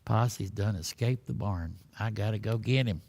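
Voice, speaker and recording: A man's voice: lowish voice, normal speed, a little mellow, pitched below the performer's own voice. Man putting on a folksy, drawling Texan cowboy. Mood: hurried, reassuring. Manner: drawling, folksy rustic speech. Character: folksy Texan cowboy yarn-spinner and loving husband, hearty.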